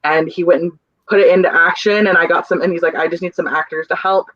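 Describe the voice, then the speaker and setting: A young adult stage manager speaking over a dull, lo-fi video call line in a dry, close sound. The voice sits at a middle pitch and the talk is earnest and meandering, full of restarts as the thoughts come. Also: steady tone, fast, a bit bright, no echo. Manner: rambling, searching for words, earnest. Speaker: stage manager on a theater crew. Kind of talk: casual panel chat on a video call.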